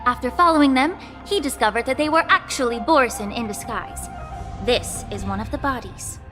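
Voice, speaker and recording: An actress playing a composed female alchemist master who explains things calmly. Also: on the high side, fairly quick, long reverb tail, over music, close to an everyday voice. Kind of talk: game cutscene voice acting.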